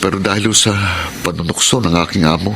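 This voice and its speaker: An earnest, polite young worker. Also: male voice, low-pitched, a little fast, creaky, very noisy. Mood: hopeful. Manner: polite, earnest, flustered under pressure. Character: earnest, polite married male worker far from home. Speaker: lead, a migrant worker. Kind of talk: classic radio drama acting.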